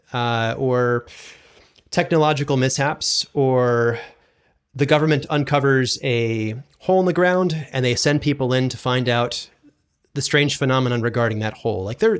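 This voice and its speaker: A man speaks as a guest in a casual interview. His voice sits at a middle pitch. He talks like a producer explaining his craft in everyday conversational language. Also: clear, resonant tone. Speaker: guest, audio drama producer and sound designer.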